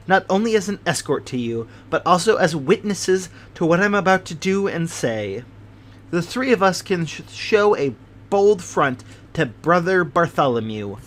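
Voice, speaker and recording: Man reading as a jittery little fusspot of thirty, voice pitched high and thin, eager and overpolite, louder than the narration. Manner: fussy, excitable, overly polite. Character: fidgety, anxious, bald little man of thirty.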